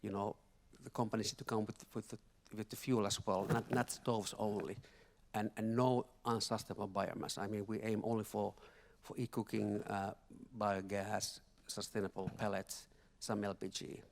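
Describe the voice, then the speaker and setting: A man speaking as a panelist at a conference workshop, talking haltingly with many repeated words and restarts. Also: on the low side, flat delivery, vocal fry. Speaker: panelist from a finance institution. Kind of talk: panel discussion remarks. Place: conference hall.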